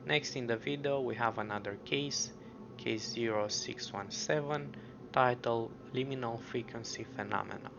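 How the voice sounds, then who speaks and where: A man's voice: mid-range voice, normal speed. A man narrating an explanatory video commentary, mostly scripted with casual asides, on a muffled, noisy lo-fi recording. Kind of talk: explanatory commentary on a horror web series. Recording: muffled lo-fi audio with clear background noise.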